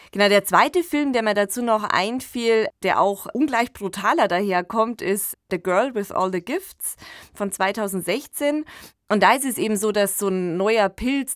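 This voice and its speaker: A woman's voice: mid-range voice, fairly bright tone, no echo. Woman podcast host in a dry studio. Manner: informal, explanatory. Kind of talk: conversational podcast commentary.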